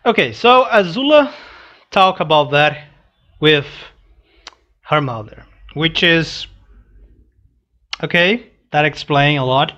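Slow-talking, higher-pitched young man. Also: animated, bright, forceful tone, muffled recording. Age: young adult. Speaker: reaction video host. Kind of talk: casual read-along commentary on a comic. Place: small room indoors. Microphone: headset boom mic.

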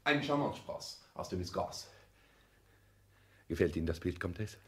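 An actor playing a polished, calculating social climber in a 1960s German radio play, with formal, rhetorical speech. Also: male voice, deep voice, lively intonation, moderate pace. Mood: suave.

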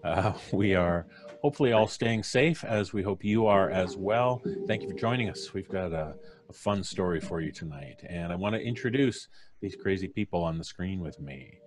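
A middle-aged man with a deep, low voice hosts a casual live stream. He is jovial and halting, often pausing mid-thought as he introduces people. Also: lively intonation. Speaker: podcast host. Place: home studio, video call. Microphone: studio mic on boom arm.

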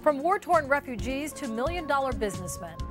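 A woman's voice: sits high, very animated, a little fast. A woman in her thirties hosting a TV business news show, anchoring from a studio in a polished delivery. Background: music.

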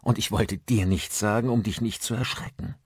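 Reassuring, rational husband read by a man, slightly husky. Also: on the low side, fairly even intonation, moderate pace, dry recording, studio quality, voice pushed up. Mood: confiding. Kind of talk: dramatised audiobook dialogue.

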